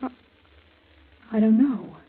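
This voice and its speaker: A woman's voice: on the high side, slow pace, breathy edge, full character voice. An actress playing an anxious but determined young girl in a 1940s radio mystery, earnest and breathless, on a muffled old recording. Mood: tense, resolute. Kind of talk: 1940s radio drama acting.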